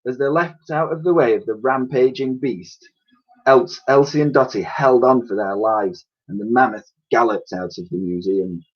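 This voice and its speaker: A man reading a children's storybook aloud in an expressive, dramatic way, as a teacher would to pupils. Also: medium pitch, moderate pace. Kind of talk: animated children's storybook narration. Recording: dull, lo-fi recording.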